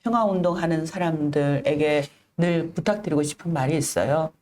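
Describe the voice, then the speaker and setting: A middle-aged woman telling her own story in an interview, earnest and measured, pausing often and enunciating with care. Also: low-pitched, a little mellow, slight background noise. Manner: earnest, measured, with frequent pauses and careful enunciation. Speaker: interview guest, activist. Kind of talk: reflective personal storytelling in an interview.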